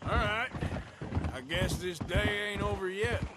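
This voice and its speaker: A man's voice: sits high, unhurried, very noisy. An actor playing a weary, wry outlaw gunman with an American accent, dry and plain-spoken, in a naturalistic game performance. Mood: weary, guarded.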